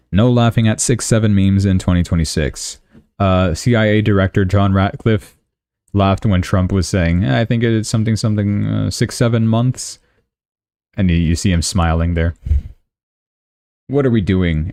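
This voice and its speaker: Deep, mellow-voiced Canadian man commenting. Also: no room sound. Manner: thinks aloud, with self-corrections and asides. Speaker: crypto and news streamer. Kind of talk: casual live-stream commentary, reading statements aloud.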